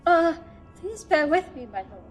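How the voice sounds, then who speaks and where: Casting: actress as scholarly sci-fi archive keeper, nervous stammer, deferential yet fussy, pitched high. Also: female voice, big pitch swings, moderate pace, faint music behind. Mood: scholarly excitement. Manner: nervous, stammering, deferential, bossy to her assistant. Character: flustered, eager scholarly female archive curator serving a Sith. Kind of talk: fan audio drama acting.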